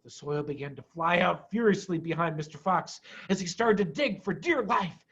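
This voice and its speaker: A man reading a children's story aloud with dramatic, expressive pauses and emphasis. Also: high voice, vocal fry, husky, lo-fi sound. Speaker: storyteller, head of school.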